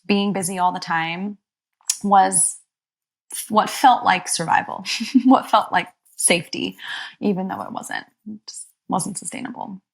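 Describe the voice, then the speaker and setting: A young adult woman therapist, born in Egypt, speaking slowly and reflectively as an interview guest, pausing often with soft ums. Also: medium pitch, vocal fry. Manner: soft, thoughtful, many pauses and ums.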